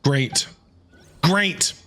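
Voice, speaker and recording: A man's voice: a little high, drawn-out words, pushed and bright. A man giving casual, reactive live commentary as a game streamer, with slangy remarks. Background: faint game music.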